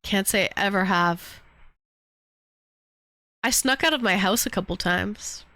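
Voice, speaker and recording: A woman's voice: medium pitch, measured pace, bright, forceful tone, dry recording. A woman from Canada in her thirties, hosting a casual voice-chat stream and rambling about old cartoons. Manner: rambling, humming cartoon theme songs. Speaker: streamer taking call-ins.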